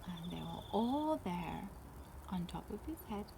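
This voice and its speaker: A woman storyteller reading a picture book aloud to children, with dramatic pauses and questions put to her young listeners. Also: lowish voice, big pitch swings, vocal fry, background noise.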